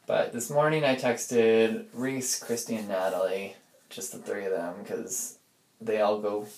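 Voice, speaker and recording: A man's voice: lowish voice, a little mellow. A man speaking in a casual, rambling personal audio diary, reflective and informal, often feeling his way through a thought. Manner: informal, reflective, loses and regains his thread. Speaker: personal audio log diarist. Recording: echoey room.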